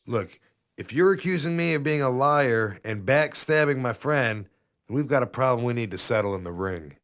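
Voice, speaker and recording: An actor playing a young wrestler buddy in a game cutscene, casual, earnest and slangy. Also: male voice, medium pitch, expressive, moderate pace, fairly bright tone. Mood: confrontational. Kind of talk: video game cutscene acting.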